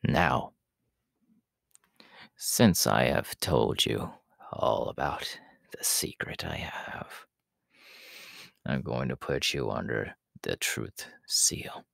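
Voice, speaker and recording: A man voicing a menacing, haughty demon king in an ASMR audio roleplay, slow and imperious, in a gravelly, breathy half-whisper. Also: extremely low pitch, expressive, fairly bright tone, dry recording, clean studio sound, exaggerated voice. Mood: commanding. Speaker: voice actor.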